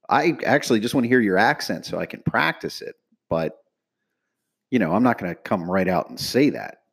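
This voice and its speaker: A man with a Pittsburgh accent hosting a podcast, pitching it with enthusiastic, repetitive, salesy energy. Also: husky. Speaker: podcast host. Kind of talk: upbeat promotional podcast intro.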